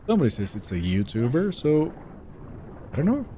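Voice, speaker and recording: A male game streamer, raised in Sweden with Finnish as his first language, chats his way through a live session. His voice is mellow and soft-edged, yet his pitch bounces around a lot as he reacts to viewers. There is a faint hiss behind him. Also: huge pitch swings, brisk. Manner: chatty, reads viewer messages aloud and answers them. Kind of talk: casual live-stream chat while gaming.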